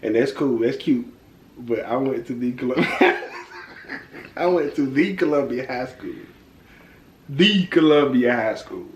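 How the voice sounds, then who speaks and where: A man's voice: mid-range voice, expressive, measured pace, long pauses, a little hiss or hum. Thirties man, easygoing jokester co-host, roomy echo. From Decatur, Georgia, US. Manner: joking, laid-back, laughs. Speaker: vlog co-host. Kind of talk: casual couple Q&A chat.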